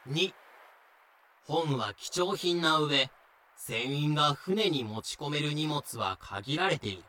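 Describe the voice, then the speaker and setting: A man's voice: on the high side, expressive, takes it slowly, sharp tone, slight background noise. A man reading scripted explanatory narration in a wry, matter-of-fact way. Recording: music behind the voice.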